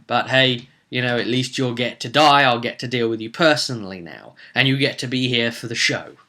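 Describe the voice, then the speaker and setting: Guy doing a smug bad guy from a tabletop rpg, gloating, fake sorry, bright forceful voice. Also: medium pitch, moderate pace, close to an everyday voice. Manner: mock-apologetic, gloating. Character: smug, mocking male cult villain giving a speech.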